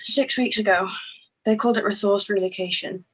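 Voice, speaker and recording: An actress in a screenplay table read playing an anxious, impatient woman whose partner was abducted, sharp-tongued and exasperated. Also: medium pitch, brisk, breathy edge, lightly acted, poor audio. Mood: bitter, weary.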